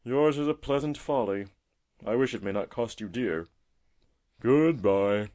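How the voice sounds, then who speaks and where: An old male tortoise from a storybook, acted out by a man, talks in an emphatic, avuncular way. He is chatty and friendly with a testy streak, and his pitch swings up and down broadly. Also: lively intonation, measured pace, a little mellow, close to an everyday voice. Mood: earnest farewell. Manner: emphatic, chatty, avuncular, fond of tag questions. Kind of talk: storybook character voice.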